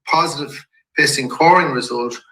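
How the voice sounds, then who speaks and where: A man with a croaky voice from a head cold, giving a measured presentation to shareholders. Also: medium pitch, bright, forceful tone, roomy sound, low-quality recording. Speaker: company executive presenting to shareholders. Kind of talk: investor presentation.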